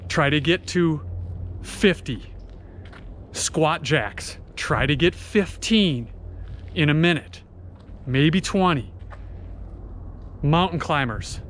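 A man's voice: a little high, fairly bright tone, a little hiss or hum. A man in his thirties coaching a home workout outdoors on a driveway, explaining the exercises and counting reps into a camera mic. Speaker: fitness instructor. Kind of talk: workout instruction. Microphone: camera mic, often at a distance.

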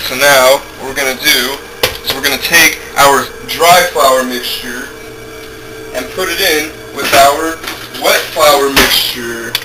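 A man's voice: mid-range voice, takes it slowly. Young man hosting a homemade cooking show. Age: young adult. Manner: jokey, offhand, talks while working. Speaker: home cooking show host. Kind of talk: casual cooking demonstration. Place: home kitchen, indoors. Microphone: camera mic, a few feet away. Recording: noisy home-video camera audio.

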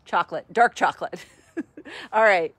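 A woman's voice: lowish voice, animated, a little slow, a bit bright, slightly rough, no room sound. Chatty upbeat woman in her sixties. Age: 60s. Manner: chatty, upbeat, laughs often. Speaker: podcast host. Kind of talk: casual selfie video chat. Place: sunny outdoor patio. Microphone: phone at arm's length.

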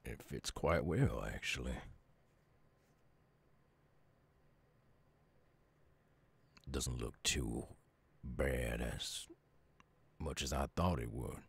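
A man playing a gruff, lonely but gentle werewolf with a Southern US accent in an ASMR roleplay, speaking softly and haltingly. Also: exaggerated voice. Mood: hesitant, touched. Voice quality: gravelly, very deep, husky.

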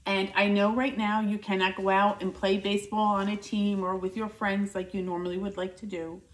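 A woman in her fifties reading a children's picture book aloud indoors, with expressive, deliberate, emphatic delivery. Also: mid-range voice. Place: living room by a fireplace, indoors. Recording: roomy, echoing indoor sound.

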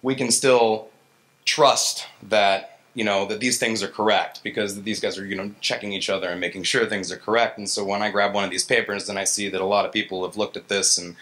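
A man's voice: lowish voice, steady tone, a little fast, a bit bright. A man in his thirties giving an informal, colloquial conference talk as an engineer, self-deprecating and acting out his reactions, into a stage microphone. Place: stage in an indoor gallery event space. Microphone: handheld-style vocal mic on a stand.

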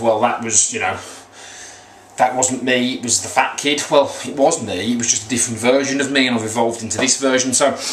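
Bloke in his 30s, bit croaky, rambly coaching vlog, medium pitch, roomy echo. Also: fairly bright tone. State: slightly croaky after a run. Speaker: weight loss coach. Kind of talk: motivational vlog talk to camera. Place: small room at home, indoors. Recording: echoey small room, faint background noise.

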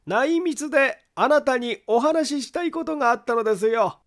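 A man voicing a grave, secretive man who calmly argues a friend's innocence in a polite, deliberate way, in an audiobook mystery story. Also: very high-pitched, voice pushed up. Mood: confiding, grave.

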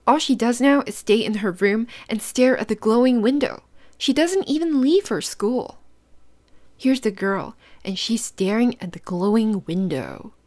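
A woman's voice: a little high, lively intonation, a little slow, a little mellow. A woman hosting a children's read-aloud, using simple instructive phrasing and putting questions to young listeners. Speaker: host reading picture books to children.

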